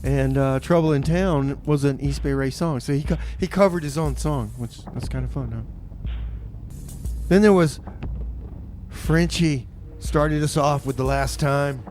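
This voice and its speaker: A man's voice: a little high, unhurried, darkish tone, slight background noise. A man hosting a community radio show with an easygoing, chuckling delivery in a radio studio. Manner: easygoing, chuckling, amused by his co-host. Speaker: radio DJ and host. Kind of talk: casual radio chat and announcements.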